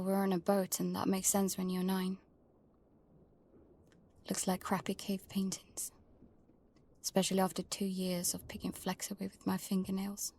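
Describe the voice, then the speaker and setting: A woman playing a protective young girl who narrates her life at sea in a hushed, intimate way for an audio drama. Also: mid-range voice. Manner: hushed, breathy, often near whispering. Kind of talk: first-person scripted audio drama narration.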